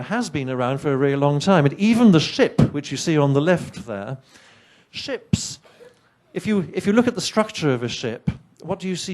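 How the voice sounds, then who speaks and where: A middle-aged man with an English accent presents a science talk to an audience, chatty and full of wry asides. His voice sits on the higher side for a man. English is not his first language. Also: a little mellow. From England. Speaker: conference speaker.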